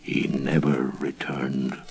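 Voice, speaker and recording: A man with a husky voice telling a ghostly folk tale in a theatrical, suspenseful storyteller's manner. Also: extremely low pitch, monotone, a little mellow, heavily acted. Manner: theatrical, drawn-out suspenseful phrasing.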